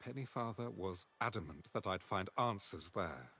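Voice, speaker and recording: A courteous fantasy ally. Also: male voice, low voice, lively intonation, moderate pace, pushed and bright, heavily acted. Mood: earnest. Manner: formal, old-fashioned phrasing. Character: formal, courteous male ally in a fantasy quest. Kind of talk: game character dialogue.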